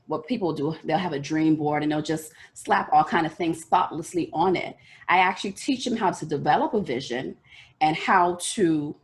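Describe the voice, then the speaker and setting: A woman from the Bahamas with a Bahamian accent leads a motivational lesson on life goals. Her voice sits low and deep. She talks earnestly and directly, and her pace often runs fast. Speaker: life coach teaching a session. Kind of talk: motivational teaching talk.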